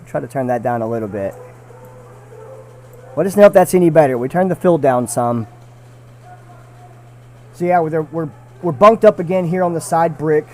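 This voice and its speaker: A man's voice: on the high side, animated, normal speed, pauses often, warm tone. A man giving casual live commentary on an airsoft match, repeating himself and restarting phrases as he talks. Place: small side room near the field. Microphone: shared desk mic.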